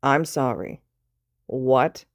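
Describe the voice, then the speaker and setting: Woman doing a grumpy worn-out teacher guy, low flat deadpan voice, slow. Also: dry recording, studio quality, exaggerated voice. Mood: stern. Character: tired, grumpy adult male teacher. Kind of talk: fan fiction read aloud.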